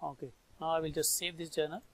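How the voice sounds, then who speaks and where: A man with an Indian accent narrating a step-by-step software tutorial, thinking aloud informally in short phrases. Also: fairly high pitch, level tone, fast. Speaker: software trainer. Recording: background noise with mouse clicks. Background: noise and clicking.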